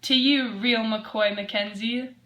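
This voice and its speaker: Girl playing earnest 1920s radio newswoman, scripted, bright highish voice. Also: fairly even intonation, slow, slight background noise. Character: earnest female 1920s radio news anchor. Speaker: news anchor.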